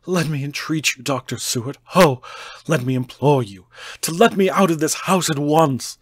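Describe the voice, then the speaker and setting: A man reads as a desperate male asylum inmate, begging in a raised, pleading voice that climbs higher. The sound is dry and close, with no room around it. He entreats without pause, pressing every line. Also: husky, dry recording. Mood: frantic pleading. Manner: pleading, desperate, rising to cries. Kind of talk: audiobook character reading.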